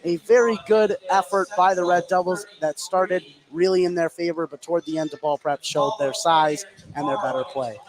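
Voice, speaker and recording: A man's voice: fairly high pitch, steady tone, moderate pace, hardly any pauses, a crowd in the background, rough recording. An American man giving live play-by-play radio commentary on a basketball game. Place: indoor high school gym during a game. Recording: clear background gym noise.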